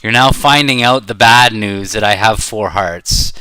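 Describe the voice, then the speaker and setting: Middle-aged man, low-pitched, crisp bright voice, casual game commentary, halting and rambling. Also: no room sound, poor audio. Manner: rambling, halting, thinking aloud. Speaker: bridge player commentating while playing.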